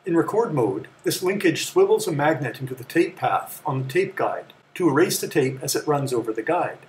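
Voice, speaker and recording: A man's voice: on the high side, lively intonation, darkish tone, poor audio. Man narrating precisely over faint background noise. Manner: measured, precise, matter-of-fact. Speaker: presenter of a vintage electronics tour. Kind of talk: scripted explanatory narration.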